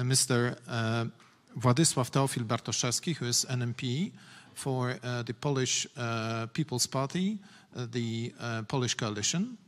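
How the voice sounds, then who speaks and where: A man moderating a panel, introducing speakers formally and hesitantly with many pauses. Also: mid-range voice, in a large hall.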